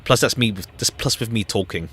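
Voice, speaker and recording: A man's voice: lowish voice, normal speed, fairly bright tone, slight background noise. A man streaming a game with casual commentary, rambling hesitantly and repeating phrases as he goes. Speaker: live streamer. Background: faint jazzy game music.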